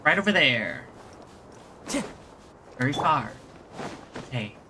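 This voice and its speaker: A woman's voice: very deep voice, very animated, slow pace, noisy background. A young woman giving casual, chatty live commentary as she streams a video game. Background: game music.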